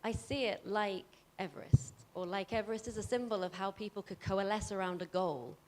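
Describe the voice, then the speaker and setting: A woman speaking earnestly to an event, deliberate, in short emphatic phrases. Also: medium pitch, a little mellow. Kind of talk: earnest public speech.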